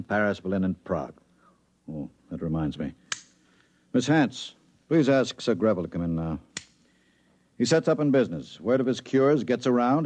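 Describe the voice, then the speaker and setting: A man playing a steady bureau chief giving a briefing, in 1950s radio drama style. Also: fairly low pitch. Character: steady male bureau chief briefing his trusted investigator. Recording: muffled vintage 1950s radio recording.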